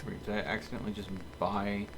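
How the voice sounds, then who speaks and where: A man's voice: on the low side, level tone, fast. A man giving casual live commentary as he streams a video game. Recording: faint background noise.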